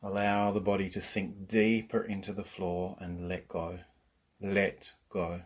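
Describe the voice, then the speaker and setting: Slow, low-voiced man guiding relaxation. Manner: calm, unhurried, soothing instruction with long pauses. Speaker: yoga nidra teacher. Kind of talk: guided relaxation meditation.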